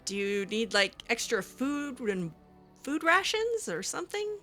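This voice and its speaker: A woman's voice: a little high, very animated, unhurried, sharp tone, faint music behind. A young woman roleplaying her game character aloud, talking hesitantly with many fillers and restarts. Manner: hesitant, filler-heavy, giggly.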